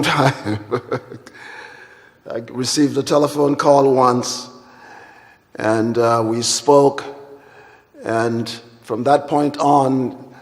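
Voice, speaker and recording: A man from Guyana, giving a formal and deliberate plenary address at a conference. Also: mid-range voice. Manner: measured, deliberate and formal, with long pauses. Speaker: conference speaker. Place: large conference hall. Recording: hall reverb.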